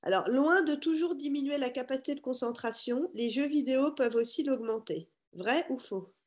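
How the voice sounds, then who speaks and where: A woman's voice: high voice, moderate pace. A woman with a cold, a workshop participant on a video call, apologizing and then reading a question aloud. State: has a cold.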